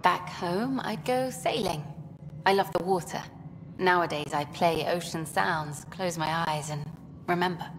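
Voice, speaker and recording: An actress voicing an eager, upbeat female documentary journalist in a video game, talking quickly and pushing for answers. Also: lowish voice, long reverb tail, slight background noise, rough recording. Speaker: documentary filmmaker character.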